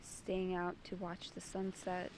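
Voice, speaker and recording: A woman leading a guided meditation as its host, giving soothing, deliberate instructions in short phrases. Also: on the low side, monotone, background noise.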